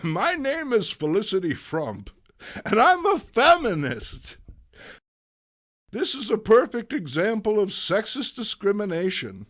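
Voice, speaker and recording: A man's voice: sits high, very animated, measured pace, fairly bright tone, voice pushed up, heavily acted. A man voicing an assertive, formal woman activist with an emphatic delivery, in a comic book reading.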